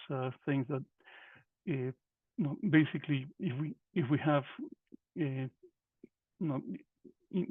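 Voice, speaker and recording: A man's voice: medium pitch, no echo. A middle-aged man from Peru, presenting a workshop over a video call, hesitant, with many fillers and restarts. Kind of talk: presenting a webinar lecture. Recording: tinny phone-like call audio.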